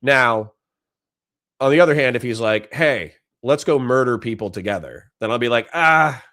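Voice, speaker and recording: A man's voice: mid-range voice, expressive, moderate pace, fairly bright tone, no echo. A middle-aged man hosting a casual livestream, reading chat messages and thanking supporters in a dry, sincere way with frequent restarts. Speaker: livestream host.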